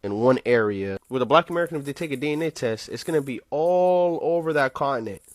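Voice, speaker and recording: A man's voice: medium pitch, animated, fast talker, no room sound. A man explaining his view in a confident, casual monologue.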